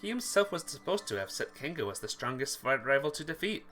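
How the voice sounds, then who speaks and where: A let's player narrates a visual novel in a bright, very animated voice. The reading is expressive, with some stumbles and repeated phrases. Music plays behind it. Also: mid-range voice, brisk. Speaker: video game let's player.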